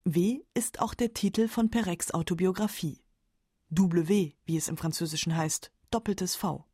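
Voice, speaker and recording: A woman's voice: fairly low pitch, a little slow, mellow, husky, dry recording, studio quality. A woman narrating a scripted radio documentary in a composed, factual way.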